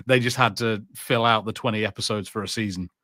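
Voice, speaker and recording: A man's voice: lowish voice, fairly even intonation, quick pace, sharp tone, dry recording. Blunt man co-hosting, close dry sound. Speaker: podcast co-host. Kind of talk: casual review chat.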